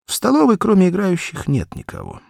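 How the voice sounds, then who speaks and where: A man narrating a children's story as an audiobook, reading expressively in deliberate, measured phrases. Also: sits high, huge pitch swings, creaky, a little mellow, no room sound, studio quality.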